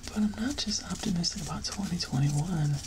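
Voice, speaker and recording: A man giving a soft-spoken, whispered ASMR ramble in a gentle, reflective manner with drawn-out phrasing. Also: high-pitched, noisy background. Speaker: ASMR video creator.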